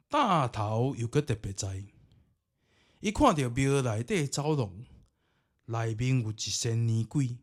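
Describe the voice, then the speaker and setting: A man with a Taiwanese accent narrating ghost stories and commenting on them in a theatrical, colloquial way. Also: mid-range voice. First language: Taiwanese Hokkien. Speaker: podcast host and storyteller.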